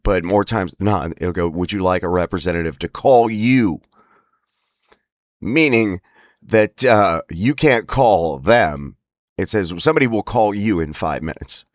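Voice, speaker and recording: A man hosts with a casual, rambling monologue in a deep voice. His speech is strained and broken by spasms, yet wry and emphatic. The sound is close and dry, free of room echo. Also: measured pace. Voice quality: spasmodic, strained breaks from spasmodic dysphonia. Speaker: host of a lifestyle series about a voice disorder.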